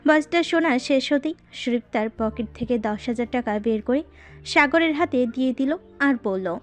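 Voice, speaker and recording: A woman's voice: sits high, expressive, moderate pace. A woman narrating a scripted story as its narrator. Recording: voice over background music.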